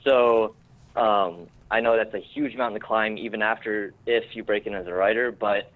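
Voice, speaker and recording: A man chatting casually as an interviewed screenwriter, informal and self-deprecating, often halting as he searches for words. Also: fairly low pitch, steady tone, no room sound, narrow, tinny audio. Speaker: screenwriter guest.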